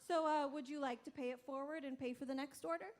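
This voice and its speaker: A woman performs a drive-through coffee cashier in a comic radio sketch. She has a high voice and a chirpy, matter-of-fact customer-service politeness. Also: steady tone, fast talker, natural voice.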